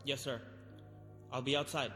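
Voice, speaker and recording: An actor playing an anxious, deferential military aide in a 1960s television drama, with clipped delivery. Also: male voice, medium pitch, steady tone, moderate pace, close to an everyday voice. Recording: echoing old TV soundtrack, loud music.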